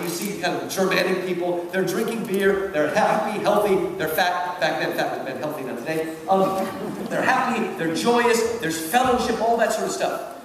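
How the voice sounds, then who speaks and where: In a big, heavily reverberant hall, a man lectures from a distance. His voice is high and swings widely in pitch. He talks emphatically, driving points home to listeners with questions. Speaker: lecturer giving commentary on a literary work. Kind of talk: animated public lecture with quoted readings. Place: large hall at a public event. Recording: very echoey hall, far off, faint noise.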